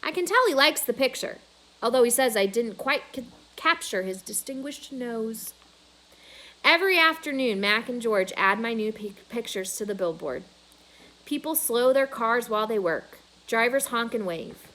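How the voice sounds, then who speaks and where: A woman's voice: on the high side, animated, normal speed, sharp tone, poor audio. A woman reading a children's novel aloud as a storyteller.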